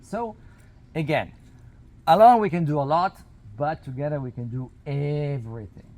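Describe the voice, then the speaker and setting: A man who is not a native English speaker gives an informal explanatory talk to a group in a halting, emphatic way, with non-native phrasing. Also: on the high side, warm tone. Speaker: spiritual workshop leader. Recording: noisy outdoor recording, natural ambience.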